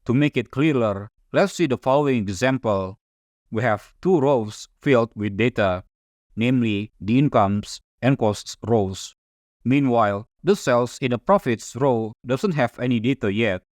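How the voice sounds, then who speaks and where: A man's voice: medium pitch, unhurried, no echo. Indonesian man explaining a spreadsheet, dry sound. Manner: calm, methodical step-by-step explaining. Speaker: software tutorial instructor. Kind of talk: scripted screen-recording tutorial narration.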